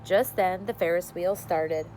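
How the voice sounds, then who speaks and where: A woman's voice: lowish voice, steady tone, unhurried, darkish tone, slight background noise, rough recording. A woman reading a children's story aloud expressively, like a teacher addressing her class.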